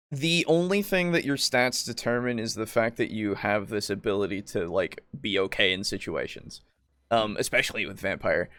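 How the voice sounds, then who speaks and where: A man co-hosting a podcast, talking casually about tabletop roleplaying in a halting, rambling way with frequent swearing. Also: fairly low pitch, a bit bright, no room sound, clean studio sound. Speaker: podcast co-host.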